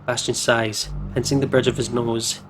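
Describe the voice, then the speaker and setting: Male gameplay host, mid-pitched, story narration with casual asides, sirens and vehicles behind. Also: level tone, moderate pace. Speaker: host of visual novel playthrough. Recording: clear siren and traffic noise behind. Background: game ambience of sirens, vehicles.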